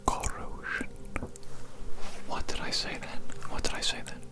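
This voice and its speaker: A person whispering softly through an ASMR roleplay as a hearing test examiner, slow and soothing, with repeated gentle praise.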